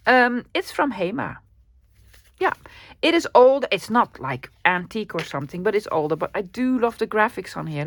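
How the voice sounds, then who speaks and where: A woman from the Netherlands with a Dutch accent, chatting upbeat and casually in a crafting haul video, with small laughs and ums. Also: medium pitch. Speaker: crafting vlogger. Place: home room at the end of the day.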